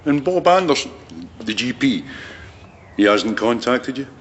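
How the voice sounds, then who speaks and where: An actor playing a calm, probing police detective in a radio drama. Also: male voice, medium pitch, measured pace, close to an everyday voice. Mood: official. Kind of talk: naturalistic radio drama acting. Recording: muffled, with background noise.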